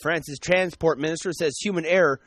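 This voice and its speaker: A man reading the news on the radio in a brisk, even newscaster delivery from a studio. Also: fairly high pitch, very noisy. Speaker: radio newsreader.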